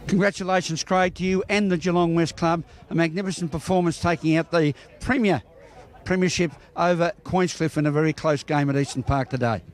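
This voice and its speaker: A man's voice: fairly high pitch, a little fast. An Australian man interviewing bowlers outdoors after a match, chatty and full of congratulations. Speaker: sports reporter. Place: outdoor bowling green.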